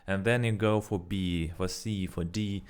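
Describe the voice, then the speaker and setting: A young man with a low, smooth voice rambles to his viewers in English, which is not his first language. He talks loosely, with fillers and restarts as he goes. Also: steady tone, unhurried. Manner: rambling, self-correcting, frequent fillers. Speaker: vlogger. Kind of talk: casual spoken practice vlog.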